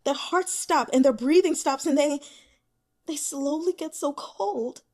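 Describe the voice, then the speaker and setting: A woman's voice: high-pitched, unhurried, a little mellow, voice pushed up. A woman voicing a distraught young female student in an audiobook, anguished and emphatic, gripped by fear of loss.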